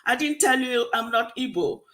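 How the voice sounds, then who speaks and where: A woman's voice: fairly high pitch, expressive, moderate pace, a bit bright. A Nigerian woman, a native Efik speaker, co-hosting a casual online discussion with a Nigerian accent. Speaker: co-host of a discussion show. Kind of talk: casual online panel chat.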